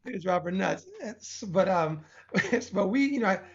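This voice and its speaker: A man's voice: sits high, animated, measured pace, creaky, dull audio. A middle-aged man from Texas, a podcast guest who rambles energetically with many restarts. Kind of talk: casual interview chat.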